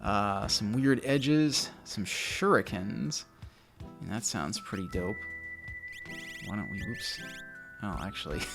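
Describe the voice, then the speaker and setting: A man with a naturally low voice, casually commentating a video game he loves, a little mumbly and self-deprecating. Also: slow, gravelly, music in the background. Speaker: let's play host.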